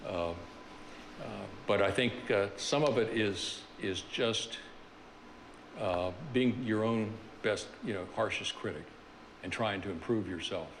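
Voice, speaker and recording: Older male seminar speaker, low-pitched, halting delivery, large reverberant room. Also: fairly bright tone, slight background noise. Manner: halting, many fillers and restarts. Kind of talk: reflective lecture on his career.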